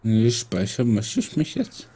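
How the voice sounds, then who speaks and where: Let's player, slow gravelly husky voice, stuffy nose, mutters at the game. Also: male voice, on the low side, big pitch swings, dark tone. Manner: muttering, teasing the character. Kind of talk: casual gameplay commentary.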